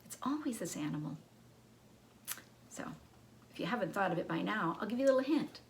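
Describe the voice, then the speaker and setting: A woman's voice: mid-range voice, animated, a little fast, a little hiss or hum, low-quality recording. A woman giving an educational talk to children in a teacherly way, with simple explanations and rhetorical questions. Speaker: children's librarian and storyteller.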